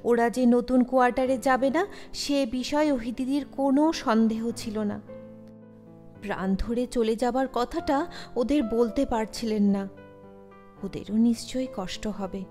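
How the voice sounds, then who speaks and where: A woman reads an audio story as its storyteller. Her voice sits fairly high and her delivery is expressive and scripted. A long reverb surrounds it, and music plays behind.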